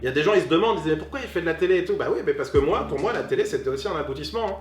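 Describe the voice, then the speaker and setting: Animated man in his thirties, echoey room. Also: on the high side, rapid-fire. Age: 30s. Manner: animated, talkative, frequent restarts and fillers. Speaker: guest, comedian. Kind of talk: casual interview conversation. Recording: echoey room with music behind.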